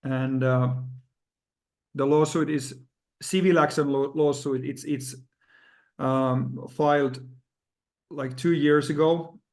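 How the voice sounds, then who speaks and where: A man from Finland hosting an interview over a video call, speaking haltingly with many fillers. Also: mid-range voice, fairly even intonation, darkish tone. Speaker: host.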